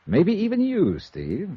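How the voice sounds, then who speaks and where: An American actor playing a wry, hard-boiled insurance investigator in 1950s radio noir style. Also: male voice, medium pitch, slightly rough, no echo, dull audio, full character voice. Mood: brooding, intense. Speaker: lead actor and narrator. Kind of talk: 1950s radio noir acting and first-person narration. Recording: band-limited vintage radio recording with music.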